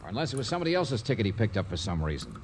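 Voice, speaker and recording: An actor playing a wry, slangy private detective in a 1940s radio drama, on a noisy old recording. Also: male voice, fairly low pitch, huge pitch swings, racing. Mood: curious. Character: brisk wisecracking male private detective.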